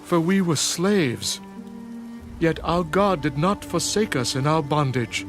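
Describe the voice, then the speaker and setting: An actor playing a penitent Hebrew priest at prayer in a dramatised scripture reading, pleading solemnly in measured phrases. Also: male voice, a little high, expressive. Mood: sorrowful pleading. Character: penitent male Hebrew priest praying in anguish. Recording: music behind the voice.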